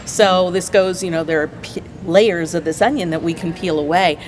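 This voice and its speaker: A woman with an American accent speaks as a guest in a casual interview. Her voice sits on the low side, and she is enthusiastic and emphatic. There is slight noise behind her. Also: moderate pace, a little mellow. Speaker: guest, community theater player. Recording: faint background noise.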